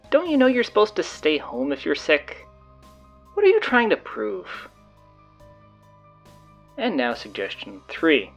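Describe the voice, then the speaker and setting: Gravelly, hesitant high school announcer played by a man. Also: dull audio, heavily acted. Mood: exasperated, then casual, rambling. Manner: hesitant, rambling, casual, dryly exasperated. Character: flustered, sardonic high school stand-in morning announcer. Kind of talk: audio drama performed as rambling PA announcements.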